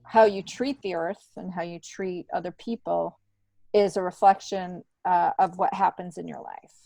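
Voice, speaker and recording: A woman's voice: on the low side, fairly even intonation, moderate pace, vocal fry, warm tone, dry recording. A middle-aged woman, a podcast guest, telling stories in a casual, chatty, meandering way. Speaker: podcast guest, stand-up comedian. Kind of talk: casual conversational storytelling.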